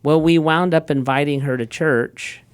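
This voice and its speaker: Smooth-voiced man pastor. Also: fairly high pitch, normal speed, no room sound. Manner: relaxed storyteller, frequent restarts and quoted asides. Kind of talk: casual conversational talk.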